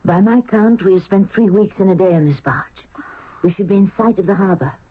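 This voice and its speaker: A woman's voice: medium pitch, a little fast, husky, phone-quality sound, lightly acted. An actress playing a bold young queen disguised as a stowaway boy in a 1970s radio drama. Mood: hopeful. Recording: band-limited, noisy old radio recording.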